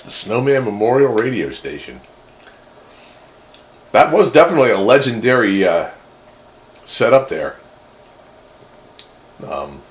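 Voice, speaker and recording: A man chatting casually as host of a hobby radio roundup, rambling and often repeating his words. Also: lowish voice.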